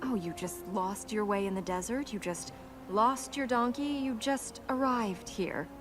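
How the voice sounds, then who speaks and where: An actress playing a proud, devout young warrior princess in a video game, commanding and earnest. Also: female voice, medium pitch, measured pace, lightly acted. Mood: stern, skeptical. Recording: loud music behind the voice. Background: loud game music.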